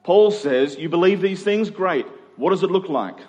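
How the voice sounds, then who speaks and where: A man's voice: high voice, lively intonation, moderate pace, a little mellow. A middle-aged man preaching in a conversational expository style, using rhetorical questions and emphatic repetition. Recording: long hall reverb.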